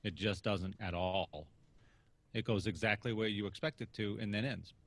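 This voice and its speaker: A man's voice: lowish voice, steady tone, normal speed, no room sound. A man casually discussing films as a podcast reviewer, opinionated and emphatic, with some casual swearing.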